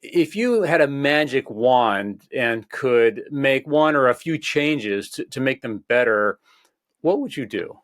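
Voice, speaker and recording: Measured male interviewer, dry sound. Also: mid-range voice, animated, fairly bright tone, dry recording. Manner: measured, asks long framing questions. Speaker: interview host. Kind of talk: conversational interview.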